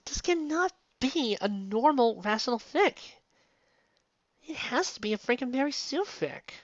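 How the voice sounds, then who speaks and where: A woman's voice: sits high, lively intonation, unhurried, dry recording. Woman ranting in a dull, dry recording. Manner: incredulous, exasperated ranting with rhetorical questions. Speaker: fan fiction reviewer and commentator. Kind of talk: casual, unscripted opinion commentary.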